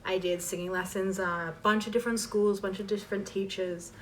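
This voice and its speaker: A woman's voice: medium pitch, fairly even intonation, brisk, fairly bright tone. Young woman chatting, distant noisy recording. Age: 20s. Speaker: online voice performer answering fan questions. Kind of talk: casual Q&A chat. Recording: distant mic with clear background noise.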